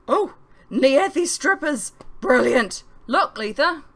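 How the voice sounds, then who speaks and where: A woman voicing a tipsy young woman in an audiobook, excitable and complaining, with exaggerated drawn-out delivery. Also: high voice, voice pushed up, heavily acted. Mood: excited.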